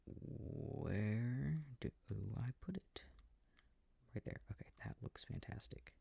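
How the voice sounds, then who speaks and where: A man playing an affectionate, easily confused boyfriend in a soft sleep-aid roleplay, muttering casually and hesitantly to himself over a video game, close to the microphone. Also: mid-range voice, expressive, takes it slowly, vocal fry, no room sound, heavily acted. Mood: puzzled, absorbed. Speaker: audio roleplay performer. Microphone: close microphone, dry. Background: controller clicking sounds.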